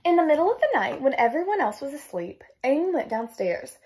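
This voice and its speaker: A young woman with a fairly high, very lively voice reads a picture book aloud. She is expressive and emphatic, breaking into chatty asides for children. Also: brisk. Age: young adult. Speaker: read-aloud storyteller. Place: small indoor room at home.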